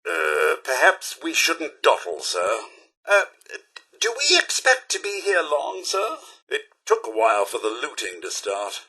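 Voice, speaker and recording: A man's voice: on the high side, huge pitch swings, a little slow, gravelly, sharp tone, slightly rough, heavily acted. A man voicing a prim, fussy robot butler for a video game, with a British accent and a pompous, over-polite, theatrical manner. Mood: fussy, musing. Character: prim, fussy, loyal robot butler, male, dryly sarcastic. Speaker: companion character in a video game.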